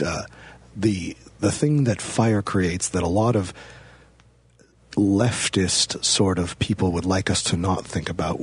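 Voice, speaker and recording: A man in a deadpan comedy chat, playing a pompous professor who rambles with mock authority. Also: low voice, gravelly, slightly rough.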